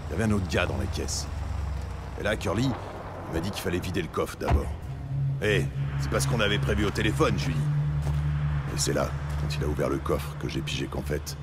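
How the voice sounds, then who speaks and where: A shady scrapyard owner in his forties in a crime radio play. His voice is exceptionally low, halting and slangy, uneasy and defensive, under loud background noise. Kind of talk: crime audio drama acting.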